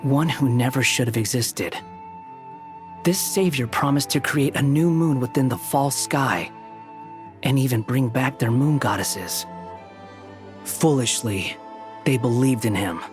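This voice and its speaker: A man's voice: medium pitch, a little slow, long pauses, faint music behind, natural voice. A man as a cool, terse young man. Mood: serious. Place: studio.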